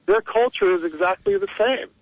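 A man's voice: high-pitched, normal speed, no room sound. Heated male caller on a dull phone line. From Egypt. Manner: heated, rambling, opinionated. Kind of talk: call-in radio talk. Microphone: telephone.

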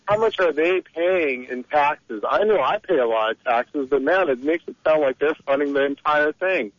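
A man's voice: fairly high pitch, lively intonation, moderate pace, dry recording. A man calling in to a radio talk show, talking in a heated, rambling, opinionated way over the phone. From Egypt. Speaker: caller. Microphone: telephone. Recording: dull lo-fi phone line.

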